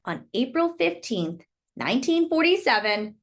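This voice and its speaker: High-pitched, lively woman reading a storybook. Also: moderate pace. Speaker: children's book read-aloud host. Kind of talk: expressive storybook narration.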